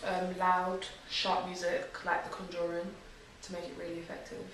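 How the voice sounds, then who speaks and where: Bright young woman, distant and echoey. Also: lowish voice, completely flat delivery, moderate pace, slight background noise. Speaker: interviewee. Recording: very echoey room, far from mic.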